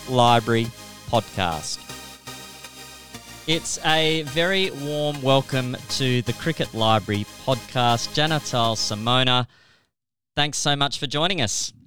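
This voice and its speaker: A man's voice: a little high, sharp tone. A man with an Australian accent hosts a podcast, moving between prepared introductions and conversational interviewing. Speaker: podcast host.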